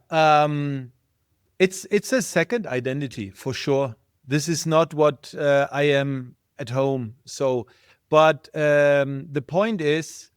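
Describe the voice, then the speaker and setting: A man's voice: a little high, smooth, no echo, studio quality. A middle-aged man with a German accent, a guest in an interview, thinking aloud and searching for his words. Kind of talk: casual interview talk.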